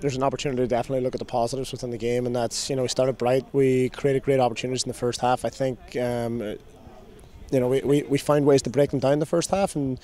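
A man in his thirties, a soccer coach giving a measured post-game interview outdoors, often repeating and rephrasing his words. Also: medium pitch, level tone, dark tone. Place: outdoor sports field at night. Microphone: handheld interview microphone.

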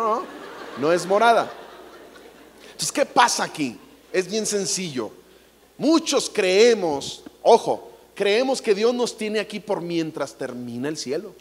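A man's voice: high voice, slight background noise. Mexican guy preaching on a big stage, playful, lots of jokes, echoey hall. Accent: Mexican Spanish. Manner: playful, jokey, acts out quotes. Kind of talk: comedic stage sermon. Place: large theater stage. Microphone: handheld mic. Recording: long hall reverb.

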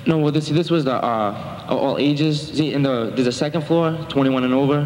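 A man's voice: mid-range voice, moderate pace, a little mellow. A young man speaking as a defendant in court, rambling and defensive, with many fillers. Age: young adult. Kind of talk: testimony in court. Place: municipal courtroom. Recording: echoey courtroom, faint noise.

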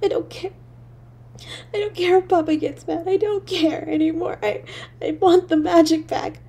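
A woman voicing a poor, lonely little girl in an audio drama, halting and breathy, with childlike stammering. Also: very high-pitched, fairly even intonation, warm tone. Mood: tearful pleading. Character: poor, lonely, freezing little match girl, timid and yearning. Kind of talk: solo audio drama monologue.

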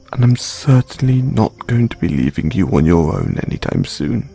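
A man performing an intimate comfort roleplay as a gentle boyfriend consoling his grieving partner, speaking in a soothing, tender, hushed way. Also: low voice, vocal fry, breathy edge.

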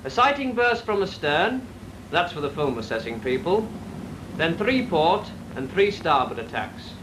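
A man's voice: a little high, very animated, measured pace. An actor playing a firm male officer briefing pilots, speaking emphatically in a 1940s training film. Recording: hissy 1940s film soundtrack.

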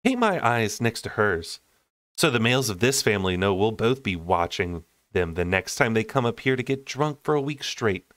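A man's voice: fairly low pitch, very animated, normal speed, a bit bright, no echo. A man acts out a sassy young woman from a fantasy storybook. The delivery is blunt, teasing and emphatic, with wry humor. The sound is dry, with very little room. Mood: mock stern.

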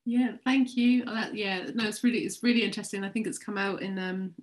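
A woman's voice: medium pitch, steady tone, a little fast. A woman around forty responding informally and a little hesitantly in an academic discussion on a video call. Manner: hesitant, conversational. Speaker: seminar speaker, educational developer. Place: home room on a video call. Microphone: laptop mic on video call.

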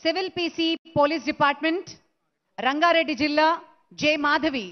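A woman announcing recruits' names one by one at an award ceremony, in a slow, measured way. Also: high-pitched. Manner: slow, measured name-calling into a PA. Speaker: event announcer. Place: large stadium ceremony. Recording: dull lo-fi broadcast sound.

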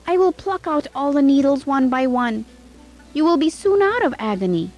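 A devoted young queen from a storybook, voiced by a woman narrator. She is soothing and earnest, with a raised pitch over faint music. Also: lively intonation, normal speed, darkish tone. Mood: concerned. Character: devoted, consoling young queen, tender wife.

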